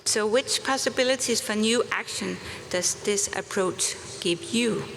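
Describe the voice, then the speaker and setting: A woman presenting at a conference workshop, talking gently and encouragingly, with halting restarts. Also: mid-range voice. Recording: conference hall reverb, faint noise.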